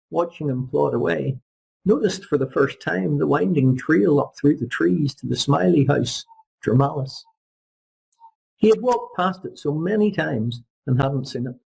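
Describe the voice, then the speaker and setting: A man reading a short story aloud as an audiobook narrator, in a husky, gravelly voice. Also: on the high side, dark tone, poor audio. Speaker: audiobook reader. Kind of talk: scripted literary narration.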